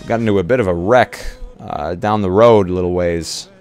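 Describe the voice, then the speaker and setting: A man playing in a casual tabletop roleplay, speaking in a hesitant, halting way as he improvises. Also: low-pitched, darkish tone, faint music behind. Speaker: roleplay player.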